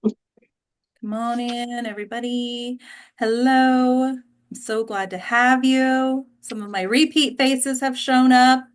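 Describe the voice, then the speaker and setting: Chatty American woman, higher-pitched voice. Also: steady tone, slow. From Texas, United States. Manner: chatty, effusive. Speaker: host of an online actors' table read. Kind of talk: casual welcoming chat to a group. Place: online video call. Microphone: video call.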